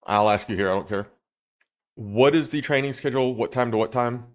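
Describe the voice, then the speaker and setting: A man's voice: medium pitch, a little fast. A man streaming live, chatting casually and rambling a little as he talks straight to his viewers.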